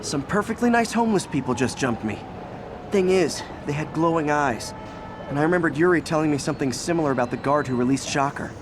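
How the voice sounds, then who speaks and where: An actor playing a friendly, earnest young American superhero in a video game, talking in a casual, slightly awkward way. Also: male voice, on the high side, expressive, fast, over music, lightly acted. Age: young adult. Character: earnest, friendly young male superhero juggling everyday life.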